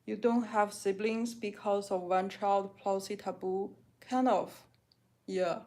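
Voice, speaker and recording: Woman, Chinese accent, native Mandarin speaker, casual vlog chat, reads out questions and answers plainly, mid-pitched voice, kitchen at home. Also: steady tone, unhurried, mellow. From China. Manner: reads questions aloud, then answers frankly with many "like"s. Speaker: vlogger answering viewer questions. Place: home kitchen, doing dishes.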